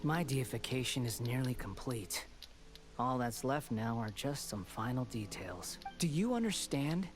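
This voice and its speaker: Give this one to an arrogant young god of a video game , played by a man. He speaks at a middling pitch with theatrical contempt. Every phrase is haughty, mocking and grandiose. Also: animated, measured pace, over music, lightly acted. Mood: grandiose, contemptuous. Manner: haughty, taunting, theatrical delivery. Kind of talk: video game dialogue acting.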